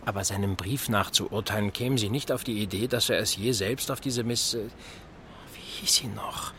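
An actor playing a genial, blunt older married admiral in a German radio drama, talking in a chatty, emphatic, hesitating way. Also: male voice, on the low side, moderate pace, pushed and bright, slight background noise. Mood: musing, hearty.

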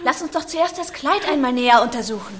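A man voicing a spirited young woman in a raised falsetto. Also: lively intonation, fast, a bit bright.

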